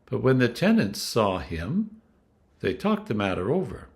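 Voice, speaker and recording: A man reading scripture as a clergyman, with a measured, dramatic storytelling delivery. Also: mid-range voice, wildly swooping pitch.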